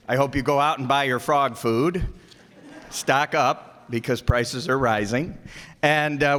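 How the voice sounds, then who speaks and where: A man's voice: mid-range voice, a bit bright. A man giving informal, off-the-cuff closing thanks to an audience from a stage, in a joking way. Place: live stage in a hall. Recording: echoey hall with audience noise.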